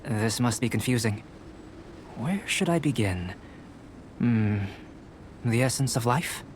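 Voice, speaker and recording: A man playing a calm, detached young scholar and alchemist in a video game, speaking in a measured, formal, analytical way. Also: mid-range voice, slight background noise. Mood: intrigued.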